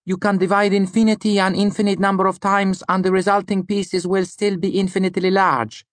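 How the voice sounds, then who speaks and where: A man voicing an earnest, methodical foreign student in an audiobook, explaining in a precise, deliberate way. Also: sits high, flat delivery, dry recording, voice pushed up, close to an everyday voice. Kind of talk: audiobook character dialogue.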